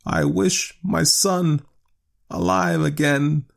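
Guy playing a scared old man in a storybook, voice lifted and wavering, soft-hearted, trips over words. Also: fairly high pitch, steady tone, a little slow, gravelly, voice pushed up, heavily acted. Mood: fearful, reluctant. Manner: faltering, unsteady, stammering. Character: fearful, tender, shaken old husband and father.

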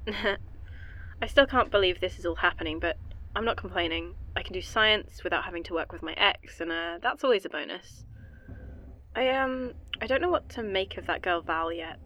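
A woman's voice: mid-range voice, sharp tone, poor audio. A woman playing an anxious, earnest young woman scientist in an audio drama, flustered and hesitant as she rambles.

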